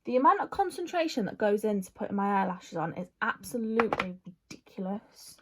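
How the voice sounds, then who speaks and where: A woman's voice: medium pitch, big pitch swings, a little fast, a little mellow, slight background noise. A woman, chatting casually through a makeup Q&A, rambling with lots of fillers. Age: 20s. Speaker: vlogger.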